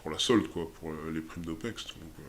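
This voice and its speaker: Un homme d'une vingtaine d'années, soldat, qui répond en entretien de façon décontractée et hésitante, avec beaucoup de « euh » et de reprises. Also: male voice, rumbling low, a little fast. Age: 20s. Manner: hesitant, many fillers and restarts. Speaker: interviewee, army soldier. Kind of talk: casual interview answers.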